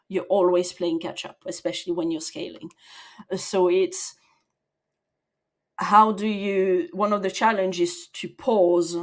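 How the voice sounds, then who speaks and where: A woman in her forties from the south of France, speaking as a podcast guest, thoughtful and quick to laugh. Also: fairly low pitch. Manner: thoughtful, laughs easily, restarts sentences. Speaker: guest, people and operations leader. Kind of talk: podcast interview.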